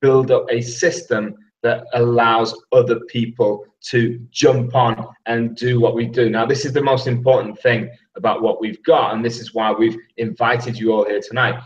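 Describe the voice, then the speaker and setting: A man co-hosts a live web presentation from far away, chatting to viewers in a loose, friendly way with lots of fillers. His voice sounds distant from the mic and dull, as if it is coming through a remote call. His pitch is ordinary. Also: little pitch movement, moderate pace, few pauses. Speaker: co-host, business partner. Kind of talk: casual live-stream presentation. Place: Saigon, Vietnam, at night.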